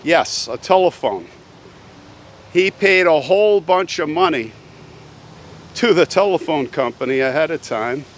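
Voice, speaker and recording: A man's voice: fairly high pitch, expressive, unhurried, long pauses, slight background noise. A man telling a story on location as a video host, in a theatrical, suspenseful way, repeating phrases for effect. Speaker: host of a cemetery history video channel. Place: outdoors, walking in a cemetery.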